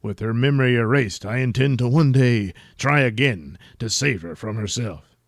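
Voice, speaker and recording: A man as a pompous mid-pitched superhero. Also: moderate pace, no room sound, studio quality, lightly acted. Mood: scheming, bossy. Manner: pompous, grandiose. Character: pompous, self-important, blustering male superhero. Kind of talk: comic superhero audio serial acting.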